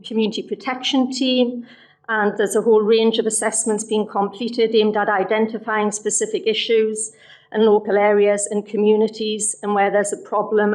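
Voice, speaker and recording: Woman councillor talking in a flat steady way, voice going a bit. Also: mid-range voice, low-quality recording. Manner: measured, steady delivery with frequent ums. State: losing her voice. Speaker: councillor moving an amendment. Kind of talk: council meeting speech. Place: council chamber.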